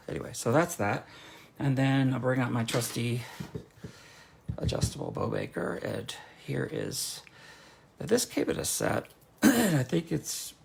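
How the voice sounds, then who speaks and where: Guy rambling through a craft demo, hoarse and nasal, lots of fry, faint room noise behind. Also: medium pitch. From North Carolina. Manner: chatty and rambling, frequent fillers and restarts. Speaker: card-making demonstrator. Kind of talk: casual craft demonstration.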